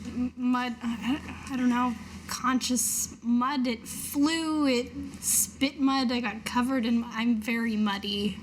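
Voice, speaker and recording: A woman improvising in character in a tabletop roleplaying game, hesitant and deadpan. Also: a little high, slow. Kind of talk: casual in-character improvised chat. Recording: noticeable background noise.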